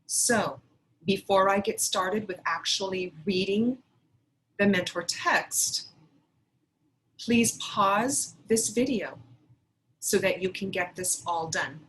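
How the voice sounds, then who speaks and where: Woman, teacher, unhurried pace, medium pitch, deliberate instructional read-aloud, faint background noise. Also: fairly bright tone, rough recording. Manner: deliberate, addressing pupils directly.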